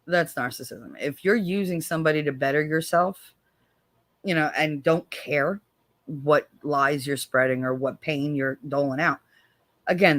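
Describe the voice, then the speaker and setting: Blunt, profane American woman host. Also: no room sound. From United States. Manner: blunt and profane, swings from low weary tones to sharp sarcastic jabs. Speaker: livestream host. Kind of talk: casual livestream chat, reading viewer comments.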